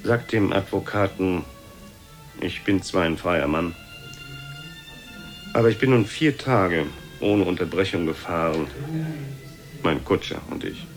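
A haughty, ageing Venetian adventurer in a radio play. The voice is very low and pompous with weariness in it. The room rings around him and soft music plays behind. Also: male voice, unhurried, pauses often, roomy sound. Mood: weary, insistent. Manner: pompous, self-important. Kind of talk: radio drama acting.

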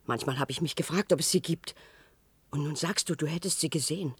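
A woman's voice: low voice, brisk, breathy edge, no echo. An actress playing a timid, fearful worker woman in a German radio play, anxious and emotional in her delivery. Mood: awed, urgent. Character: timid, wondering female cellar worker, obedient and fearful.

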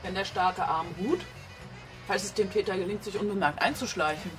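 A woman's voice: mid-range voice, normal speed, recorded from a distance, lightly acted. An actress playing an uneasy, frightened woman in a radio drama. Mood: anxious, uneasy. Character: anxious woman frightened of a killer at night. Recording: off-mic voice with music behind.

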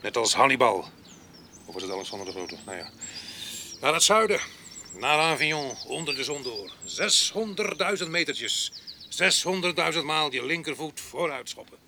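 An actor playing a rough, wild man with a past in a radio drama, mocking and blustering in a coarse way. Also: a little high, very animated, normal speed, bright, forceful tone, full character voice. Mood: boastful. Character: rough, wild, taunting man with a past, vagabond grape picker. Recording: noisy 1980s radio drama with outdoor ambience.

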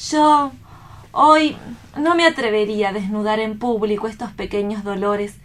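An actress playing a compassionate, tender-hearted young woman reporter in a radio play, expressive and theatrical. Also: mid-range voice, moderate pace, natural voice. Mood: reflective, compassionate.

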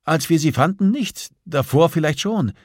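Man voicing a flustered, anxious woman investigator, pitched up. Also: lively intonation, normal speed, dry recording, clean studio sound. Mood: searching, tense. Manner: hesitant, agitated, groping for details. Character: anxious female police investigator, flustered under pressure. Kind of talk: audiobook dialogue acting.

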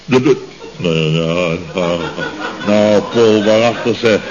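An actor playing a blustering, pompous middle-aged boss of a building firm in a radio comedy serial, stammering and repeating himself when flustered. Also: male voice, on the low side, slow pace, crowd noise. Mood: moved, grandiose. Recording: noisy, dull vintage radio recording.

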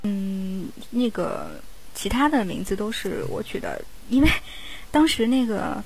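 A woman's voice: medium pitch, expressive, a little slow, darkish tone, rough recording. A woman in casual conversation, talking informally as a crew member on a panel. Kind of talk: casual chat.

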